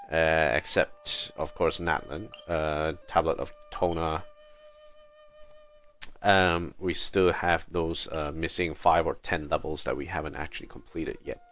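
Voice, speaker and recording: A male livestreamer with a deep voice talks casually through his gameplay. He goes slowly, thinking aloud in short, halting phrases. Soft music plays behind him. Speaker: game livestreamer. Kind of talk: casual live gameplay commentary.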